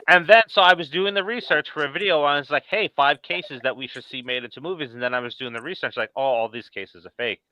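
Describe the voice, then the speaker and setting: A man's voice: a little high, quick pace, hardly any pauses, dry recording, muffled recording. A man hosting a casual live talk show, telling stories informally and acting out quotes as he goes. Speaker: podcast host.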